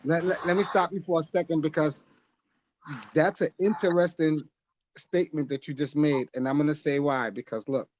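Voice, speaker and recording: A man's voice: a little high, fairly even intonation, normal speed, a little mellow, no room sound, muffled recording. A man from New York hosting a casual live-stream podcast, rambling and interrupting himself, with frequent laughs.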